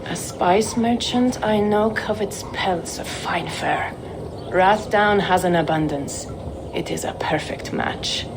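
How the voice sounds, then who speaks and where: Low-voiced, shrewd trader woman. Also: slow pace, vocal fry, noisy background. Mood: wry, assured. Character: shrewd, wry woman trader in Viking-age Dublin. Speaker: game character, merchant. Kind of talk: video game cutscene acting.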